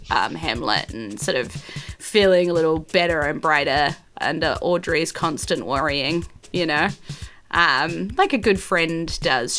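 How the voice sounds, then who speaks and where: A woman with a New Zealand accent improvising narration as game master of a tabletop game, informal and halting. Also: low-pitched, bright, forceful tone.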